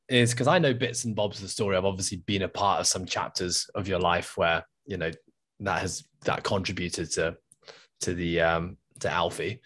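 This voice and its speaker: Young male host on headset call. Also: sits low. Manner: friendly, casual questioning. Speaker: podcast host. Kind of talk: interview over video call. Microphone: headset on video call.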